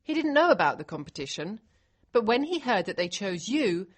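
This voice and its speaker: A woman's voice: medium pitch, very animated, normal speed. A woman voicing an emphatic, bossy but affectionate female relative in audiobook character dialogue. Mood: excited, proud. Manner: urgent, emphatic, gushing.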